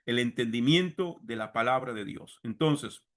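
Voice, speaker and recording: A man's voice: mid-range voice, expressive, normal speed, a bit bright. A man with a Costa Rican Spanish accent teaching a Bible seminar like a sermon, didactic, repeating key phrases and addressing listeners directly. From Costa Rica. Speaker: religious teacher and congregation leader.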